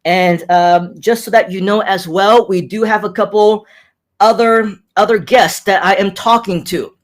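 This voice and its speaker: A man in his thirties with a fairly high voice hosts a casual live stream. He speaks mostly with an American accent that carries a faint Hong Kong tinge. His delivery is upbeat and chatty, with frequent repetitions as he reads out viewer comments. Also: steady tone, unhurried. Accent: American with Hong Kong hints. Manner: upbeat, chatty, repeats phrases, laughs often.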